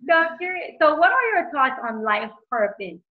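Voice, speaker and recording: A woman's voice: high-pitched, animated, normal speed, narrow, tinny audio. A woman hosting a conversation, affirming her guest and echoing what she hears. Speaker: podcast host, coach. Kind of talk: interview conversation.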